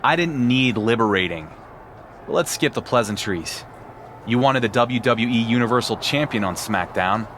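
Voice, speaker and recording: Game role: man as proud, confrontational male champion, mid-pitched with lively emphasis. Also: moderate pace, slight background noise, lightly acted. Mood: defiant. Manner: blunt, confrontational. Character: defiant, brooding male champion wrestler, proud loner. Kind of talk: acted video game cutscene dialogue.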